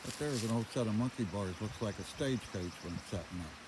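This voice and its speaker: Older guy w/ lowish voice just rambling on a walkaround outside, plain country talk, really noisy audio. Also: fast. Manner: plain-spoken, folksy. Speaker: vlogger showing around own farm. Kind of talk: casual rambling walkaround commentary. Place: outdoors on a rural farm. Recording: noisy outdoor recording with loud ambient noise.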